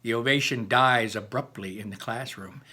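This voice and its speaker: A man reading a children's novel aloud like a teacher, with animated, dramatic emphasis and explanatory asides to listeners. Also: medium pitch, moderate pace.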